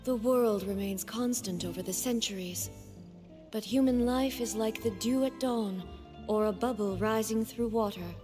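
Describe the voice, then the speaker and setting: A woman voicing a solemn, poetic female character in a video game, speaking in measured lines. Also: mid-range voice, music in the background, lightly acted.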